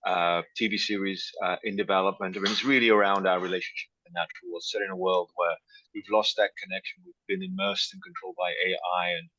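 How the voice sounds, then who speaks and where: A man's voice: low-pitched, steady tone, quick pace, pushed and bright, no room sound, lo-fi sound. A man introducing his project on a video call, rambling with many fillers.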